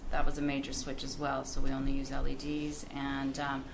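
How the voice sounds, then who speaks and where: A woman presenting briskly and upbeat as an applicant at a council public hearing, standing at a podium in a council chamber. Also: rumbling low, monotone, creaky. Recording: noisy room recording.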